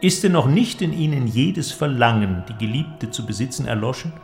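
An actor playing an eloquent, cynical inventor who argues in a declamatory, creaky voice in a literary audio drama. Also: male voice, medium pitch, moderate pace, a little mellow, faint music behind, lightly acted. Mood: persuasive, mocking. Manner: declamatory, argumentative, rhetorical. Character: male inventor, cynical, eloquent philosophical persuader.

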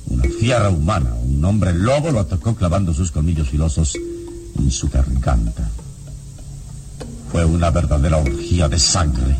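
An actor in a radio drama playing a solemn, relentless and eloquent heroic investigator who questions in formal, theatrical diction. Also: male voice, extremely low pitch. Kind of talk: classic Latin American radio drama acting.

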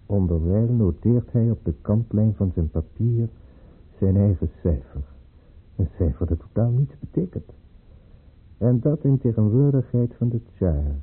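A husky, sardonic scheming baron. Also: male voice, on the low side, lively intonation, a little slow, narrow, tinny audio. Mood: wry, conspiratorial. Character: sardonic aristocratic baron, conspiring Russian courtier. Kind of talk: Dutch radio play acting. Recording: band-limited old radio play recording.

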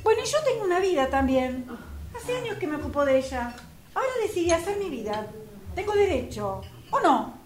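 A woman's voice: very high-pitched, very animated, fairly quick. An Argentine woman playing a weary caregiver sister who is in love and defiant, in an amateur radio drama. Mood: defensive, defiant.

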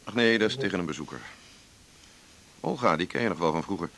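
A man's voice: low voice, lively intonation, quick pace, fairly bright tone. An actor playing a mildly insistent man in a Dutch radio drama, speaking naturally with an accent from the Netherlands. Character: man introducing an old acquaintance, mildly insistent.

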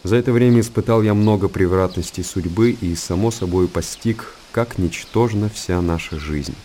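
An actor playing an aging, world-weary hermit monk in a radio monologue, speaking in a measured, pensive and solemn way. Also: male voice, deep voice, warm tone, a little hiss or hum, lightly acted. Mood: wistful.

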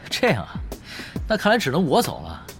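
An actor in a Chinese audio drama playing a laid-back, teasing veteran gamer, unhurried and confident. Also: male voice, fairly high pitch, huge pitch swings, a bit bright, soft background music, full character voice. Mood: wry, calm. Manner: unhurried, tongue-in-cheek, confident.

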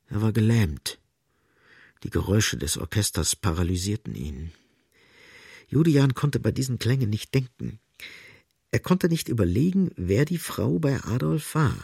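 An actor as the grave, ironic narrator of a radio play, delivering literary prose in a measured, detached manner. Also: male voice, mid-range voice, vocal fry, a little mellow, breathy edge, no echo, clean studio sound, heavily acted.

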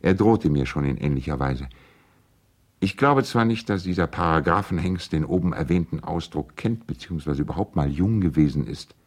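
Radio drama role: courteous, persuasive attorney, very low bass voice, earnest letter-reading. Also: male voice, animated, moderate pace. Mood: coaxing, friendly. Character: urbane, persuasive male lawyer and family friend. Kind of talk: radio play, letters read aloud in character.

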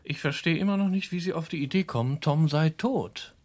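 An actor in a radio play as an evasive, smooth-talking young American impostor, polite and hesitant as he improvises excuses. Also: a little high, a little fast, lightly acted. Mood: guarded, polite.

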